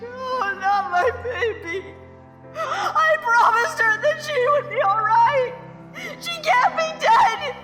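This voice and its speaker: Very high-pitched distraught Victorian mother, acted by a woman. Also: very animated, takes it slowly, sharp tone, full character voice. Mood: wailing grief. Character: distraught Victorian mother grieving her daughter. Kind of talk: period horror audio drama. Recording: long reverb, music underneath.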